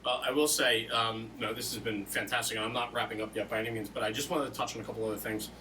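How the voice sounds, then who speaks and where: Bright-voiced man hosting, slightly off-mic. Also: fairly low pitch, steady tone, quick pace, far from the mic, a little hiss or hum, rough recording. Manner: casual, colloquial storytelling with reenacted dialogue. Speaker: podcast host and volunteer firefighter. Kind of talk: interview with animated personal anecdotes.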